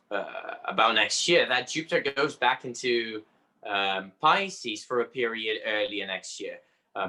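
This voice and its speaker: A man with a Brazilian Portuguese accent, a native Portuguese speaker, talking as an astrologer guest in an interview and pausing often to search for words. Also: lowish voice, bright, forceful tone. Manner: halting, searching for words with frequent pauses. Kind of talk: conversational interview.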